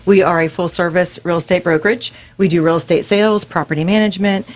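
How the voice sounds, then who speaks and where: A woman's voice: fairly low pitch, steady tone, fast talker. A woman from Florida, a real estate broker, explaining her company as a radio guest in a composed, businesslike way. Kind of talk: radio interview.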